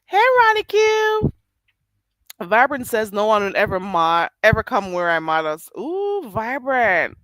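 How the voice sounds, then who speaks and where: A woman's voice: medium pitch, fairly bright tone, dry recording. Stuffy, nasal woman chatting live late at night. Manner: chatty, laughs often, drawls "child". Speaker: live streamer reading chat. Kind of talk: casual late-night live stream chat.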